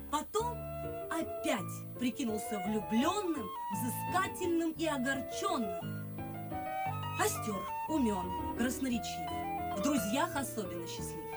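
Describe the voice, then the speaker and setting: An actress playing a dreamy, proud young noblewoman in love, speaking classical verse theatrically in a radio play. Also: high voice. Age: young adult. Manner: theatrical, expressive verse delivery. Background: music.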